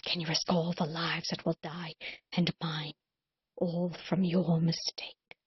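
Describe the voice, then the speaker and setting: A proud, weary elf king, as a woman narrator reads him. He speaks quietly and evenly, in a low, breathy voice. The recording sounds dry and dull. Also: steady tone, moderate pace, no room sound. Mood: quiet challenge.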